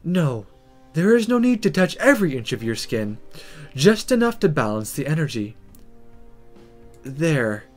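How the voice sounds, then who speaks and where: A man acts out a sultry woman who teaches magic in a visual novel. The voice is soft and composed, gently instructing with calm authority, over faint music. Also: a little high, measured pace, long pauses.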